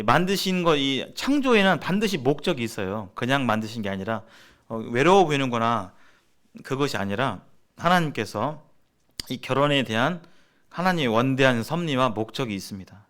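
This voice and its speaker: A man gives sermon-like teaching at a church seminar in a middle-pitched voice. He sounds earnest and exhorting, pressing his points with emphasis. Key words come back deliberately as he explains. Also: big pitch swings, a bit bright. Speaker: preacher leading a church seminar.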